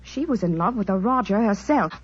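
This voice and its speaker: A woman's voice: mid-range voice, lively intonation, fairly quick, a little hiss or hum, lightly acted. An actress playing a candid, breezy widowed queen with an informal, chatty manner in a vintage radio drama. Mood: chatty, confiding. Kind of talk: 1940s radio drama acting. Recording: dull, muffled vintage radio recording.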